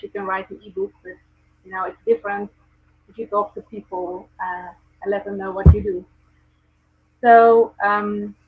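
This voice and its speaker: A Dutch-accented woman speaks earnestly as a guest, pausing on ums and restarting her sentences. Her voice is a little husky. The audio is thin and phone-like, as from a video call. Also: mid-range voice. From Netherlands. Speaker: guest, presence coach. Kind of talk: casual video call chat.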